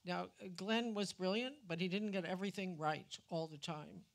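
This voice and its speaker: A woman's voice: lowish voice, normal speed. An older woman moderating a live panel discussion on an auditorium stage.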